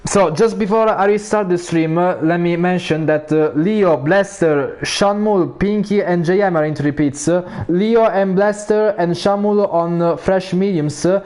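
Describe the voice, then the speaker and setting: A man's voice: measured pace, hardly any pauses, a little mellow. A man with an Italian accent giving live commentary on an online racing league, full of "uh" fillers, his voice rising when cars fight for position. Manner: frequent "uh" fillers, rises in pitch during battles. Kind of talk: live esports race commentary.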